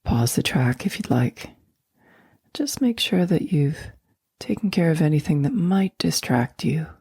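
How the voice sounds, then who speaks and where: A woman guiding a sleep meditation, speaking slowly and softly, often in a near whisper. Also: rumbling low, slightly rough, no room sound, studio quality. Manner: slow, soft and soothing, often whispered. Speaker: meditation guide.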